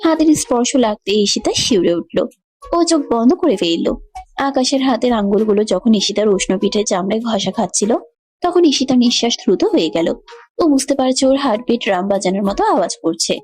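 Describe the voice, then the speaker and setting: A woman's voice: on the high side, animated, fairly quick, darkish tone. A woman giving scripted narration of a romantic story.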